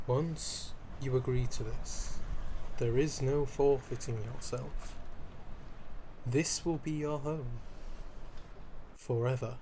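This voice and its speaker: A man acts out a centuries-old vampire lord in an audio roleplay, speaking straight to the listener. He is haughty, theatrical and wry, fussy and quick to get indignant or flustered. His pitch is average for a man, and clear ambient noise runs behind the voice. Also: steady tone, dark tone, natural voice, low-quality recording. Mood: grudging, menacing. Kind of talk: scripted ASMR roleplay spoken to the listener.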